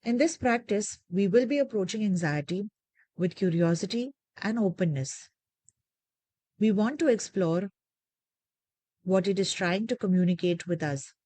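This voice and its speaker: A woman leading a guided meditation with gentle, measured, soothing instructions and deliberate pauses. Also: medium pitch, dry recording.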